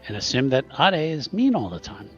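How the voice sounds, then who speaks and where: A player voicing a small, gentle, wise twenty-two-year-old with a grandfatherly air, measured and hesitant, in a tabletop roleplay. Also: medium pitch, big pitch swings, dull audio, soft background music, lightly acted. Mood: gently advising. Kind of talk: improvised in-character roleplay.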